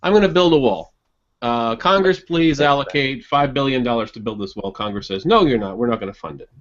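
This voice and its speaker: A man's voice: medium pitch, fairly quick, a bit bright. A man in a casual group political chat, rambling, repeating phrases and acting out imagined dialogue. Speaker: podcast panelist. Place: group internet voice call. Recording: dull lo-fi online voice call.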